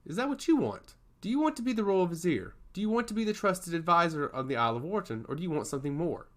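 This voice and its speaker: A man's voice: fairly high pitch, big pitch swings, fast, voice pushed up. A man playing a wry, insinuating god of chaos in a fantasy audiobook. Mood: probing. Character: suave, probing male god of chaos.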